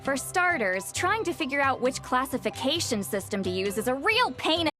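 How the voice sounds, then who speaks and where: A woman's voice: sits high, very animated, moderate pace, pushed and bright, exaggerated voice. A woman voicing a youthful-sounding but long-lived sage in a video game, matter-of-fact, scholarly and a bit prickly. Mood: musing, scholarly. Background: faint game music.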